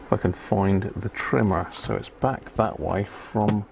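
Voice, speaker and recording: Gravelly man muttering through a repair. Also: lowish voice, fairly even intonation, a little slow, a little mellow. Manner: muttering to himself while concentrating on fiddly work. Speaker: amateur radio hobbyist hosting a live repair stream. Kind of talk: casual live electronics repair commentary. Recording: clear background noise.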